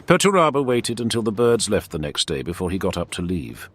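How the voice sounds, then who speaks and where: A man's voice: deep voice, big pitch swings, brisk, fairly bright tone, dry recording. A man narrating a fantasy war story in a scripted, dramatic storytelling style.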